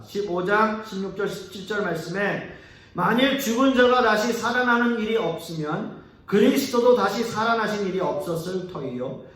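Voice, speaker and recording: High, lively preaching man in an echoey hall. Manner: earnest preaching, rising on key words, sentences trailing off soft and low. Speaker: pastor. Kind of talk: sermon. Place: church sanctuary. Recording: echoey church hall, mic at a distance.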